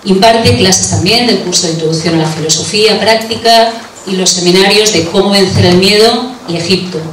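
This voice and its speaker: A woman at a conference introduces the next speakers in a steady, formal reading. Her voice is mid-pitched and reaches the mic from across the room. A roomy echo trails behind her words. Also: a little fast, slight background noise. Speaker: conference presenter. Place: conference hall. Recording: echoey room, voice from far off.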